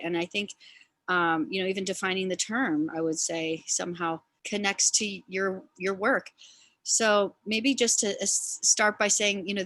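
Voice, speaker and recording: An American woman with a lowish voice, recorded dry and close. She interviews casually on a video call, effusive and rambling as she builds toward her questions. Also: a bit bright, no echo. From US West Coast. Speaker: interviewer, film director. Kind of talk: casual admiring conversation. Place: remote online video call.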